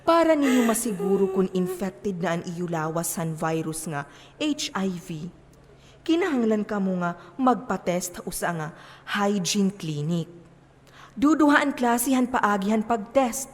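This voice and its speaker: A woman's voice: mid-range voice, sing-song, unhurried. A woman with an Eastern Visayan Filipino accent acting a preachy, commanding moral adviser in an amateur stage play, emphatic and lecturing. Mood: instructive. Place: theatre stage in a hall. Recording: long echo of a hall.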